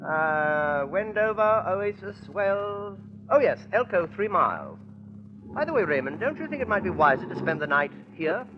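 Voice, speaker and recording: An actor playing a genial, trusting English gentleman with a British accent in a 1940s radio drama, polite and rambling. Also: sits high, sing-song, normal speed. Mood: hesitant, mildly puzzled. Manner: chuckling, polite, rambling, hesitant. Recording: noisy, dull 1940s radio recording.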